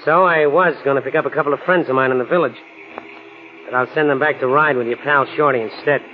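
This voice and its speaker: A man's voice: medium pitch, steady tone, quick pace, natural voice. An actor playing a menacing, sneering male gangster with tough, slangy talk in 1940s radio style. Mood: smug, threatening. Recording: tinny, narrow old radio recording.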